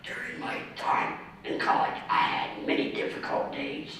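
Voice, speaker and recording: Raspy whispery man in an echoey hall. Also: pushed and bright. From Ohio, US. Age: 30s. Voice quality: raspy, mostly unvoiced whispery voice from vocal cord damage. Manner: slow, methodical, with restarts. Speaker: award speaker, ordained minister. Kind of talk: reading a prepared personal speech. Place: community center hall. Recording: echoey hall from far off, faint noise.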